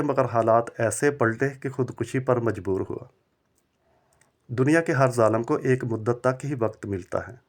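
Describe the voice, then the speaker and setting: Man narrating devotional religious stories. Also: mid-range voice. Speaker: religious storyteller and preacher.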